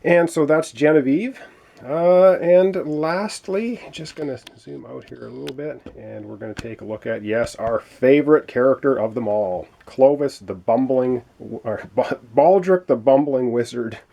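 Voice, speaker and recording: A man's voice: medium pitch, lively intonation, measured pace, vocal fry, dark tone. Man explaining a board game, losing his voice. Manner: chatty and explanatory, with many "uh" fillers. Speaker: board game playthrough host. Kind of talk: casual tabletop game walkthrough.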